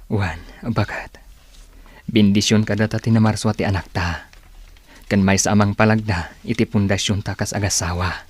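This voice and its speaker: A man's voice: lowish voice, steady tone, moderate pace, breathy edge. A man acting a young husband in an Ilocano radio drama, devoted but fond of drink. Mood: tender, reassuring. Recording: music behind the voice.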